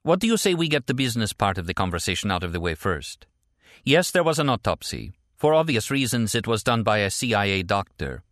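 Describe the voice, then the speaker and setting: A man's voice: lowish voice, very animated, a little fast, a bit bright, no room sound, clean studio sound. A man voicing a tender, teasing, anxious woman in an audiobook novel. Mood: eager, warm. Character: frightened, teasing, tender American woman on a phone call.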